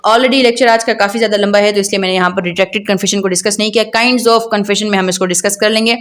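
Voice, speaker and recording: A woman's voice: mid-range voice, steady tone, fast. A woman with a Pakistani accent giving an explanatory law lecture, reading out and paraphrasing in a didactic way, often checking understanding with questions.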